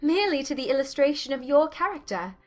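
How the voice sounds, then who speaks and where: A woman playing a witty, spirited young Regency gentlewoman with an arch, teasing manner in a dramatized audiobook. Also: high voice, very animated, fast talker, faint music behind. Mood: probing, earnest.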